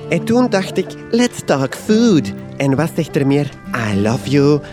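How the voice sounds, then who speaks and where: A man's voice: a little high, very animated, unhurried, music in the background. An actor with a Flemish accent playing a fussy, gushing fisherman in a radio drama. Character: fussy, gushing male fisherman planning his wedding.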